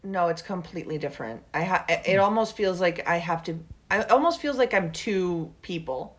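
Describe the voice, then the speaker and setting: A woman's voice: lowish voice, animated, a little fast. A Venezuelan woman comedian with a Venezuelan accent, chatting animatedly on a podcast, often restarting her sentences. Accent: Venezuelan Spanish. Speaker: comedian guest. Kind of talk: casual podcast chat.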